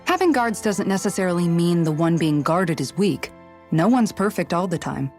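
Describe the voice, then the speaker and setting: A woman's voice: fairly low pitch, expressive, normal speed, a little mellow, close to an everyday voice. A woman playing a dutiful, composed young former palace guard in a video game, speaking earnestly and considerately. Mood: thoughtful. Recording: music underneath. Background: background music.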